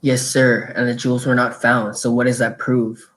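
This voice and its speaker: A man's voice: medium pitch, flat delivery, normal speed, a bit bright, lightly acted. A man playing a stern, officious police inspector in an amateur radio mystery play. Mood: indignant.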